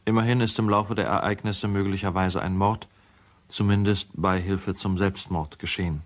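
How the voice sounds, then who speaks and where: An actor playing a sober, troubled male lawyer who gives a measured, formal account in a radio drama. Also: low voice, fairly even intonation, natural voice. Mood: grave, troubled. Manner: measured, matter-of-fact, formal.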